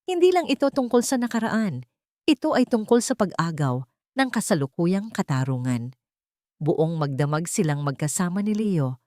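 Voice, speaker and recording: A woman's voice: mid-range voice, darkish tone, no room sound, studio quality. A woman reading a scripted, dramatic romantic story as a narrator.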